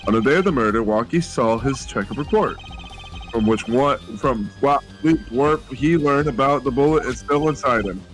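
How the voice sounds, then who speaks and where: A man's voice: medium pitch, moderate pace, a bit bright, faint music behind, natural voice, low-quality recording. A man voicing an earnest young male defense attorney reasoning aloud in a fan-voiced game.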